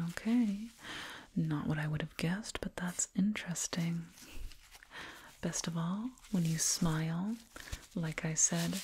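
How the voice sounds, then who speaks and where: A woman playing a soothing, proud alien dentist in an ASMR roleplay, whispering gently and repetitively up close. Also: fairly low pitch, exaggerated voice. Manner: gentle, repetitive, reassuring murmur. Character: soothing, proud alien dentist with eerie delight in sharp teeth. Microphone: binaural mic, up close. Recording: close binaural mic, clear noise.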